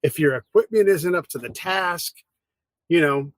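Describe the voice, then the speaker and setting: A man hosts a podcast, his voice a little higher than most men's. He talks casually and with energy, and the recording is dry and close. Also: unhurried, dry recording. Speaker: podcast host. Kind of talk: podcast conversation.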